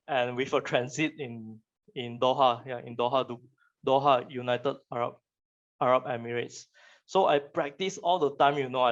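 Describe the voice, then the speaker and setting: Malaysian man, muffled online-talk audio. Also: medium pitch. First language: Chinese. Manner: halting, repeats phrases, colloquial. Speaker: guest speaker, photographer and digital artist. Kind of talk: informal talk with slides to students. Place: online virtual session.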